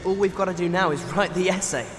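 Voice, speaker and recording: An actor playing an earnest, polite teenage schoolboy hero in a video game, with a plain English accent. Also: male voice, sits high, fast, a little hiss or hum, lightly acted. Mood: curious. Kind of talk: video game cutscene acting. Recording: hall reverb, game music behind.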